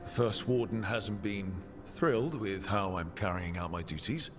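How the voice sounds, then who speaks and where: A man acting a steady game hero who leads a team. His low voice is measured and earnest, with clear music behind it. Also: natural voice. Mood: serious.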